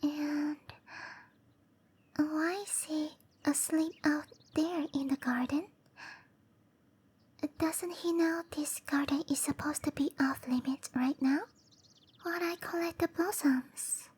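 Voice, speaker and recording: A woman voicing a gentle, caring cherry blossom dryad girl in an ASMR roleplay, musing softly to herself in a breathy, often whispered voice. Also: high voice, steady tone. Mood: puzzled, musing. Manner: musing to herself, slow and tender.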